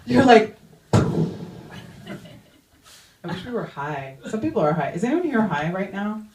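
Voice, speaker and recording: A woman's voice: medium pitch, sing-song, unhurried, echoey room, distant mic, noisy background. A young woman doing a stand-up comedy set in a chatty, self-mocking way, full of "like" asides. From Iranian-American. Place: comedy club stage.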